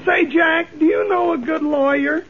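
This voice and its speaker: Very high-voiced folksy man, tinny radio. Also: fairly even intonation, a little slow, some chatter behind. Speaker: comic guest. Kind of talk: scripted radio comedy. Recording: thin phone-like 1930s radio broadcast.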